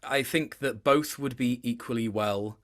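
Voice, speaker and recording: A man with a medium-pitched voice talks casually as a guest in an interview. He thinks aloud, stopping and restarting as he shapes each point. The delivery is conversational and considered. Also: fairly bright tone. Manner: thoughtful, halting, with many self-corrections.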